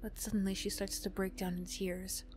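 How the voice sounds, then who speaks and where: A woman narrating an audio roleplay in a soft, hushed ASMR storytelling style. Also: medium pitch, level tone, moderate pace, music in the background.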